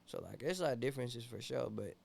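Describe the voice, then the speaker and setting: A man's voice: mid-range voice, animated, fairly quick, gravelly, darkish tone. A young man from Ethiopia, a rapper guest in a casual interview, talking laid-back and slangy with constant "you feel me" fillers.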